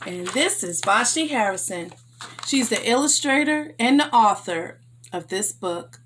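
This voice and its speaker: Female children's story host, higher-pitched, expressive and emphatic, unhurried delivery. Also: slight background noise. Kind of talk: read-aloud storytelling for children.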